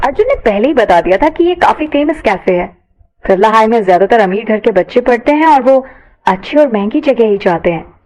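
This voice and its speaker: Higher-pitched woman narrating a story. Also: lively intonation, fairly quick, a little mellow, rough recording.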